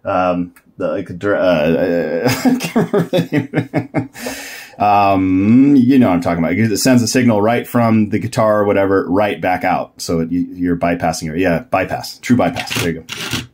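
A man's voice: low-pitched, moderate pace, darkish tone. A man giving a casual, unscripted DIY tutorial, thinking aloud and correcting himself in an easygoing way. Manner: easygoing, laughs at own mix-ups. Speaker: DIY electronics builder and presenter.

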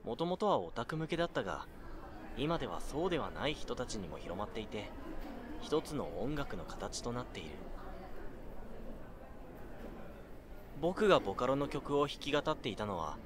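A man playing a mild, modest 19-year-old in an amateur audio drama, with a casual, gentle, self-effacing manner. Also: mid-range voice, big pitch swings, brisk, crowd noise, close to an everyday voice. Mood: reflective. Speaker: narrator and lead actor in an audio drama. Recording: music behind the voice.

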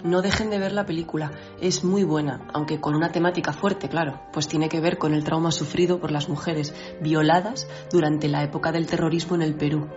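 Woman musicologist, lowish voice, dull audio over music. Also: steady tone, a little fast, few pauses. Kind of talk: radio chat.

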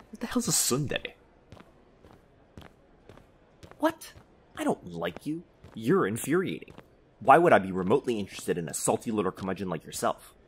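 A man's voice: deep voice, slight background noise. A man playing a grumpy, easily flustered vampire in a comedy audio roleplay, sarcastic and huffy in manner. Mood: irritated, haughty. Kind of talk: comedy ASMR roleplay acting.

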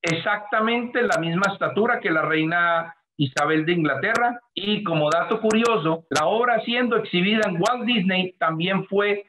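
A middle-aged man with a Colombian accent talks earnestly over a webcam from his home, his voice pitched high for a man. The call carries a clear layer of background noise and clicks. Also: moderate pace, hardly any pauses. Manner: earnest, effusive, speaks in long flowing sentences. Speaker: artist guest. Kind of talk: video call interview. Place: home room, indoors. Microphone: webcam on video call.

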